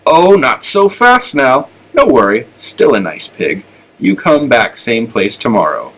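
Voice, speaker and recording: A man's voice: medium pitch, big pitch swings, unhurried, fairly bright tone, poor audio. A man voicing a big, menacing, falsely coaxing butcher who speaks broken, foreign-sounding English. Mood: creepy coaxing.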